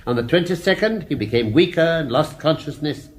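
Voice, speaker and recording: An actor playing a formal, deliberate British judge addressing a jury in a radio drama. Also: male voice, a little high, expressive, close to an everyday voice. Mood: grave, measured. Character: formal, measured male judge summing up a murder case.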